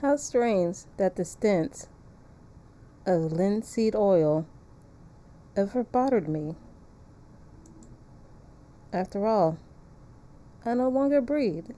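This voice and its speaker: A woman voicing a morbid, devoted painter, reading a gothic horror visual novel in a slow, hushed, deliberate way. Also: mid-range voice, lively intonation. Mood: grim, hushed.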